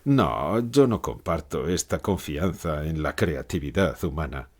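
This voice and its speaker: Guy acting as a gloomy old philosopher in an audiobook interview, low voice, really swingy intonation, sardonic. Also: breathy edge, heavily acted. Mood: grim conviction. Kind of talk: dramatized literary interview for an audiobook.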